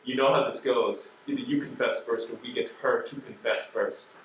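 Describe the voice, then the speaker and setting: An actor playing a pressuring, sarcastic postal inspector on stage, questioning a suspect in a deliberate, insinuating manner. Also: male voice, medium pitch, steady tone, vocal fry, fairly bright tone, a little hiss or hum. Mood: coldly threatening. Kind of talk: stage play acting. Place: theater stage. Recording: very echoey stage, distant microphone.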